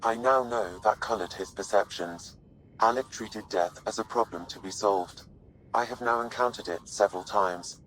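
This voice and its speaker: Game AI companion, played by a man: deep, measured, courteous and curious, faint noise behind. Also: a bit bright, lightly acted, rough recording. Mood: calm, contemplative. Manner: formal, measured, precise phrasing. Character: polite, curious artificial intelligence companion, analytical and earnest. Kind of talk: video game voice acting.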